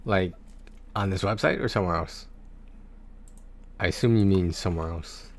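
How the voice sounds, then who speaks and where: A man's voice: low-pitched, moderate pace. A man livestreaming about video games in a casual, rambling, conversational way, speaking to his viewers on a close mic.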